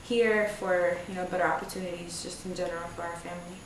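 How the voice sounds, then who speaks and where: A woman's voice: lowish voice, fairly even intonation, fast, background noise. A woman in her twenties from Egypt talking casually and informally in a documentary interview. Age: 20s. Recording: very echoey room, distant mic.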